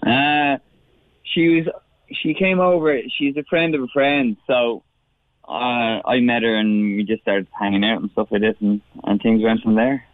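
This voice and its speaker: A man talking as a caller on a radio show, upbeat, rattling things off in quick runs. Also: medium pitch, steady tone, no echo. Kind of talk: phone interview. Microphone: telephone. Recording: phone line.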